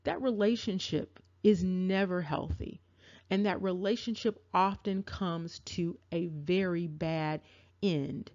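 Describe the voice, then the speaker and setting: A woman giving spoken commentary to her viewers, deliberate and emphatic, with a repetitive cadence. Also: on the low side, darkish tone. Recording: dull lo-fi audio.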